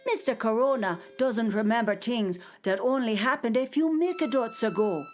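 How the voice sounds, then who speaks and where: A woman playing a gentle star-home caretaker. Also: on the high side, normal speed, natural voice. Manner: gentle, soothing, reassuring. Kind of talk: children's radio drama.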